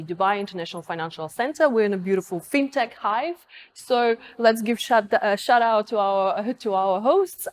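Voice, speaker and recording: Upbeat woman hosting an interview. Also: mid-range voice. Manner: upbeat and complimentary. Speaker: interviewer, host.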